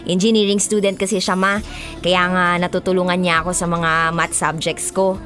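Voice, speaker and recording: A woman's voice: lowish voice, little pitch movement, moderate pace, a bit bright, faint music behind, close to an everyday voice. A woman playing a stubborn, lovestruck young college student in a Filipino radio drama, girlish and pleading. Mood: earnest.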